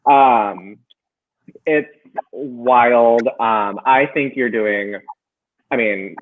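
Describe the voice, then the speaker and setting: A man's voice: medium pitch, extremely slow. A man co-hosting over a video call, sounding thin and tinny as if through a phone. He talks earnestly, dotting his sentences with ums. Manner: earnest, with many fillers. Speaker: co-host.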